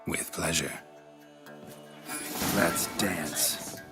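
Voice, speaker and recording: An actor voicing a stoic, deadpan monster hunter in a video game, laconic and dryly wry. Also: male voice, low-pitched, extremely slow, over loud music.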